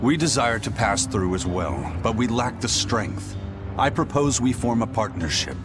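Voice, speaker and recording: An actor playing a grim, aloof pirate first mate in a video game, speaking in a curt, stoic, dry manner. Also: male voice, medium pitch, big pitch swings, normal speed, lightly acted. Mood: cold, resolute. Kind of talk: English video game dub acting. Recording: loud music behind voice.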